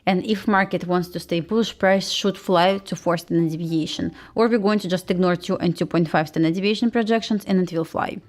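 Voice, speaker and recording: A woman's voice: on the low side, fairly even intonation, brisk, few pauses, a little mellow. A woman with a Russian accent hosting a casual trading livestream, chatting informally and commentating in slightly non-native English. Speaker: host, futures day trader.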